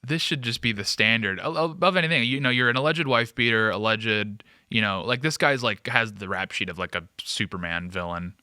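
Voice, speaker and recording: A man's voice: lowish voice, a little fast, hardly any pauses, bright, forceful tone, smooth, no echo, clean studio sound. A man hosting a political commentary show, talking casually and off the cuff in a sarcastic, mocking way.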